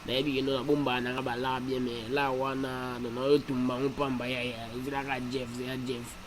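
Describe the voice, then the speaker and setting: A man's voice: medium pitch, level tone, normal speed, noisy background, natural voice. A man with a Congolese accent playing a rambling cartoon character who repeats slangy interjections. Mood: protesting. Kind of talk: animated cartoon dialogue in Lingala and French.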